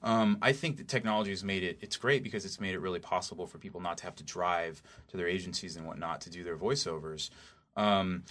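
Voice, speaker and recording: A man with a notably low voice talks as an expert guest in a casual interview. He explains practical points informally, like a working recording engineer. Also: fast, a bit bright.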